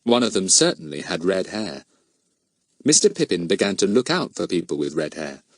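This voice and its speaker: A man reading a children's story as an audiobook narrator, in an expressive storytelling delivery. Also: deep voice, normal speed, vocal fry, no room sound.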